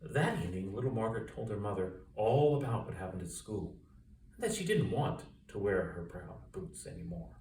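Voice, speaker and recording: A man reading a children's story aloud with expressive, dramatic emphasis. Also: on the low side, normal speed. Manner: expressive, dramatic emphasis and sound-effect words. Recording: roomy echo, distant mic, faint noise.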